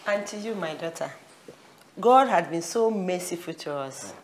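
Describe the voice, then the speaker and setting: A woman's voice: on the low side, animated, moderate pace, a little hiss or hum, close to an everyday voice, rough recording. A woman playing a mother who blesses her children. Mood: blessing.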